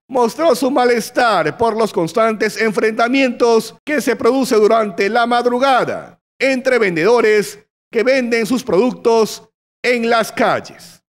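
A man with a Peruvian Spanish accent reading a scripted local news report in a brisk, formal way. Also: sits high.

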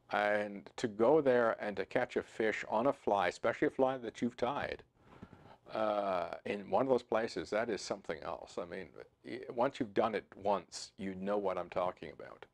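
A man in his sixties hosting a fly fishing channel, talking to camera in a relaxed, conversational way with frequent fillers and restarts, seated in a home office. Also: mid-range voice. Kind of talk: to-camera instructional talk. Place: home office with computer screens, indoors.

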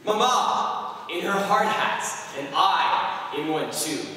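A man reciting rhyming verse in a group skit with an animated, playful delivery. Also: sits high, takes it slowly. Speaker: skit performer. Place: large church sanctuary, indoors. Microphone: far camera. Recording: echoey church hall from far off.

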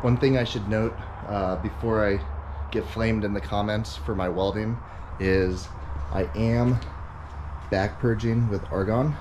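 A man's voice: lowish voice, steady tone, a little slow. An American man in his thirties explaining things casually as a vlog host, plain-spoken and matter-of-fact. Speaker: car builder and YouTube host. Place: driveway and open home garage. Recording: clear outdoor and garage ambient noise.

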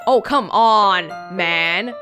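A woman hosting a let's play, reading a visual novel aloud with playful, expressive casual commentary. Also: mid-range voice, drawn-out words, music in the background.

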